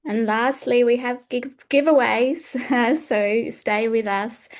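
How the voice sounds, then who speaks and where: A woman makes announcements over a video call and stumbles on a word now and then. Her voice is slightly high. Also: slow pace. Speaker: intern facilitator.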